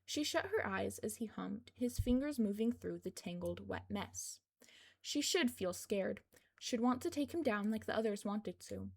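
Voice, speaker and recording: Woman reading a story, slightly creaky voice. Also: mid-range voice, animated, a little slow. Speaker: fan fiction podfic reader. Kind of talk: scripted story narration.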